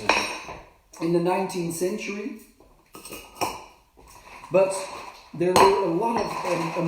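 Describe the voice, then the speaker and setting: Halting bartender in an echoey kitchen. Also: male voice, a little high, far from the mic, very noisy. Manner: halting, many fillers and restarts. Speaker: bartender and cocktail trainer. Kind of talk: casual live demonstration. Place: home kitchen. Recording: very echoey, from off the mic.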